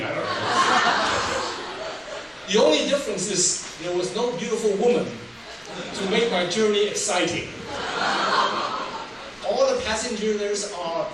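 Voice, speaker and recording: An older man from Taiwan, Taiwanese his first language, telling his life story as a humorous public speech. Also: high-pitched. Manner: humorous, laughs at his own jokes. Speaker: public speaker. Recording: roomy hall from far off, noisy.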